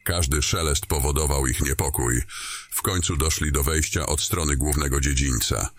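Extremely deep-voiced male fantasy narrator. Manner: descriptive, deliberate storytelling. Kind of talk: scripted fantasy story narration.

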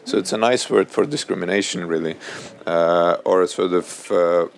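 A man in his thirties whose first language is Russian, speaking on a panel at a public event, halting and full of fillers and restarts. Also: sits low, fairly even intonation, vocal fry, noisy background. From Estonia. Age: 30s. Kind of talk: panel discussion. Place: event hall before an audience.

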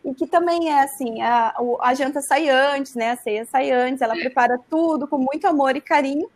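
Uma mulher jovem de Santa Catarina contando uma história de forma casual e animada, cheia de "né". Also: female voice, high-pitched, normal speed. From Santa Catarina, Brazil. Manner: animated, frequent "né" tags. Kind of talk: casual storytelling.

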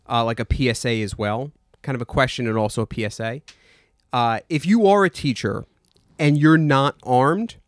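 A man's voice: mid-range voice, no room sound, studio quality. A man co-hosting a satirical comedy chat, delivering ironic claims in a mock-earnest tone. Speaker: podcast co-host.

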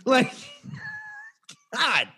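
A young man co-hosting a casual podcast, ranting in an exasperated, animated way with lots of restarts. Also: extremely high pitch, drawn-out words, breathy edge. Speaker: podcast co-host. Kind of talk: casual chat.

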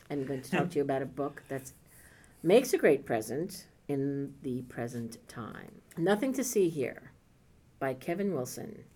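A woman's voice: rumbling low, mellow. A woman co-hosting a podcast, talking enthusiastically about a favorite book in a rambling, casual way. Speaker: podcast co-host. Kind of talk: casual chat, book recommendations.

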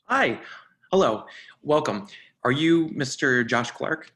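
A man's voice: medium pitch, expressive, slow pace, fairly bright tone. An actor playing a fussy, officious male restaurant host with formal manners in a readers theatre drama. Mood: polite, formal. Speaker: actor in a staged reading.

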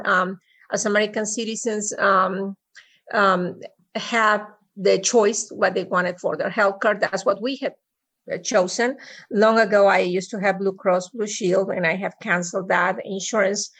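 A middle-aged woman with a Mexican Spanish accent speaks as a podcast guest over a remote call. She talks in a halting way, full of ums and restarts, in a medium voice. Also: mid-range voice, fairly even intonation, a bit bright. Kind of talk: personal story in an interview.

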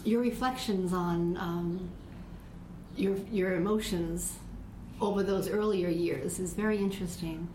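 A woman conducting an interview, gently affirming and echoing her guest's words. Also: on the low side, steady tone, a little slow, a little hiss or hum. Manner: gentle, affirming, echoes the speaker. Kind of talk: oral history interview.

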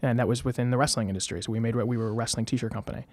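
A man's voice: medium pitch, steady tone, very fast, a little mellow, dry recording. A man in his thirties, a guest in a casual interview, telling stories with lots of fillers and restarts. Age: 30s. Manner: rambling, many fillers and restarts.

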